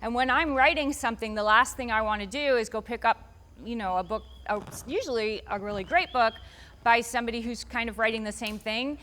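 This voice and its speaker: Bright-voiced chatty woman author. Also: on the high side, brisk, a little hiss or hum. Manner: chatty, rambling with frequent restarts. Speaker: author being interviewed. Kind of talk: casual interview answers.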